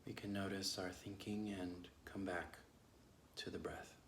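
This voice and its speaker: A man leading a guided meditation with gentle phrasing and long pauses. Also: low voice, flat delivery. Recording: roomy echo, clear background noise.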